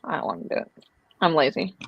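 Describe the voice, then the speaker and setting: A middle-aged mother chatting easily as a guest on a sewing stream, relaxed and agreeable. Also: fast, no room sound, dull audio. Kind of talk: casual chat.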